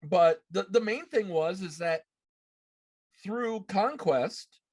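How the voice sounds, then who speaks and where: A man hosting a casual gaming discussion, explaining things in a deliberate way. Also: high-pitched, animated, darkish tone, slightly rough, no echo, studio quality. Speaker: podcast host. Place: room at home, on a video call.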